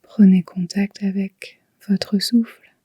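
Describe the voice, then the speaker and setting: A woman guiding a yoga nidra relaxation in a soft, whispery, breathy voice, soothing and unhurried. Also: medium pitch, level tone, no room sound. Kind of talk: slow guided relaxation meditation.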